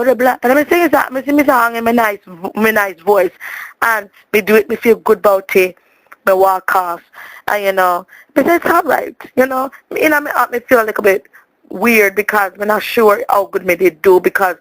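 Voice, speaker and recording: Jamaican woman, expressive rambling comic storyteller, dramatic patois delivery, close dry sound. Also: medium pitch, no echo, rough recording. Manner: dramatic, chatty, repeats words for emphasis.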